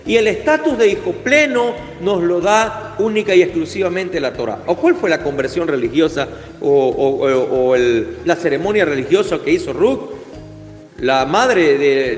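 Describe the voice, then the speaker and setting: A man preaching a fervent, emphatic sermon, with Hebrew religious terms in his speech. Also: high voice. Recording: echoey hall with faint music.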